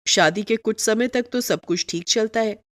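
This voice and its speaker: A woman's voice: a little high, moderate pace, no room sound, studio quality. A woman reading scripted narration for a moral story, as its storyteller.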